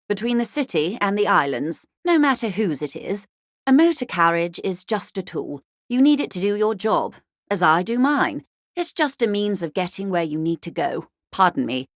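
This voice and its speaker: An actress playing a wealthy, poised company negotiator in a video game, speaking in polite, measured, formal phrases with dry irony. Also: female voice, medium pitch, fairly bright tone, dry recording, natural voice. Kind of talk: scripted game character dialogue.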